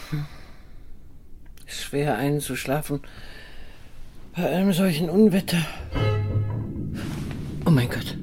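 An actress playing a lonely, tender elderly woman in a radio drama, musing in a hushed, intimate way. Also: sits low. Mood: uneasy. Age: older.

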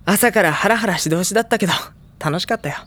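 An actor playing a gentle, earnest high school boy who speaks and narrates sincerely in an audio drama. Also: fast. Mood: earnest, warm.

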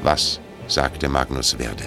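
A man's voice: rumbling low, slow pace, creaky. A man narrating an audio drama in a scripted storytelling style. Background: music.

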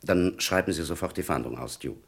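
A senior police chief in a vintage radio mystery. The voice is extremely deep and rough with creak. He talks in a deliberate, formal, commanding way. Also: full character voice. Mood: grave, commanding. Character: authoritative, measured senior police chief, a superior officer. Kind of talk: German radio crime play acting of the 1950s-60s.

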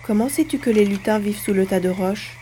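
A woman's voice: mid-range voice, fast, mellow, a little hiss or hum, close to an everyday voice. A woman playing a curious, earnest little farm boy, the grandson, in a French audio drama. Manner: inquisitive, earnest.

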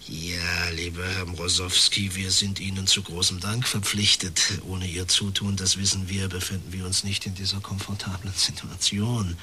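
Low-voiced, suave older clergyman. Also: level tone, sharp tone, slight background noise. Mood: smooth, businesslike. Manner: measured, formal, unctuous delivery. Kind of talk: radio crime drama acting.

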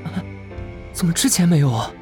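Male actor as young immortal man, gentle yet defiant, lightly high voice, lively intonation, Chinese audio drama. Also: fairly quick, music in the background, natural voice. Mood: puzzled.

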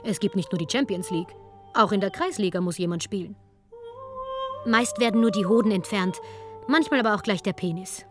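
A woman's voice: mid-range voice, a little fast. A woman narrating a scripted radio documentary in a wry, sardonic way. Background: music.

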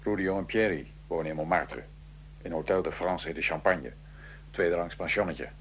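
A man's voice: sits low, fairly bright tone, a little hiss or hum. An actor playing a proud Italian revolutionary assassin of the nineteenth century, recounting his plot in a theatrical, emphatic radio-drama manner. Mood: cautioning, measured. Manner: theatrical, emphatic, measured storytelling. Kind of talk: radio drama acting, interview-like dialogue.

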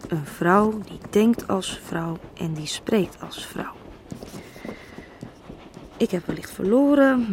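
A determined, indignant woman in a Dutch radio play. She speaks very slowly and earnestly, slightly low in pitch, with halting phrases. There is clear noise behind her voice. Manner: measured, halting, earnest delivery. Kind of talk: Dutch radio drama acting.

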